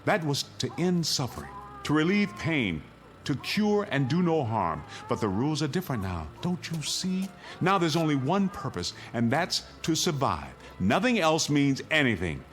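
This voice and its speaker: An anguished, unbending family man in a radio play. His fairly high voice is very animated and declamatory, with music behind it. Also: normal speed. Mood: anguished resolve. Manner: emphatic, declamatory. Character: besieged doctor and father, stubborn, anguished, resolute. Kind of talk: radio drama acting.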